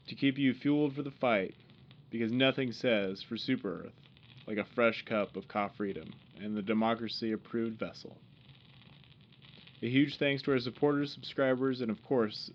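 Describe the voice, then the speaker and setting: A man's voice: mid-range voice, dull audio, lightly acted. A man playing an earnest, patriotic war-radio host from a sci-fi future, delivering scripted announcer patter.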